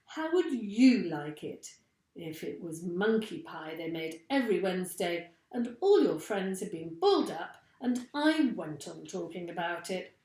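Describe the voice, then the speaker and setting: A woman voicing a plump, chatty bird in a children's storybook, expressive and exclaiming. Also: fairly low pitch, measured pace, far from the mic. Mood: indignant. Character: plump chatty bird, indignant but willing helper. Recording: echoey room.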